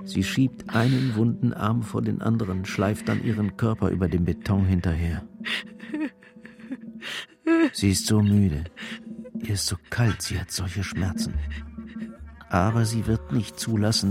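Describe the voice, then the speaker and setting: Low-voiced male radio drama narrator. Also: steady tone, normal speed, creaky. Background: music.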